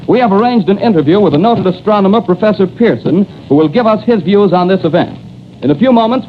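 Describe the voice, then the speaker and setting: A high, quick 1930s radio announcer. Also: male voice. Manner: crisp, formal, unemotional. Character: brisk, formal male radio studio announcer. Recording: dull, hissy 1930s radio recording.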